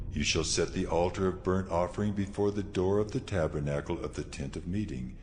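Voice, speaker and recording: An actor voicing the Lord God in a dramatized scripture audio, giving solemn, commanding instructions in a declamatory manner. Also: male voice, deep voice, darkish tone, slight background noise.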